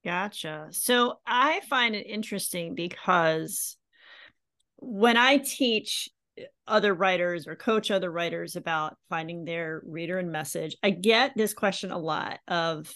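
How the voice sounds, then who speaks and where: A woman's voice: lowish voice, a little slow, a bit bright. A woman hosting a podcast interview and coaching writers, friendly and enthusiastic. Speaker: podcast host and writing coach. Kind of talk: interview conversation.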